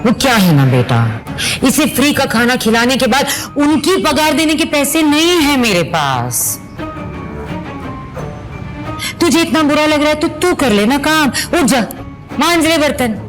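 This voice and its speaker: A woman's voice: high voice, big pitch swings, normal speed, over music. A woman playing a sarcastic, money-grubbing middle-aged stepmother who scolds theatrically in an audio serial melodrama. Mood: bossy, taunting.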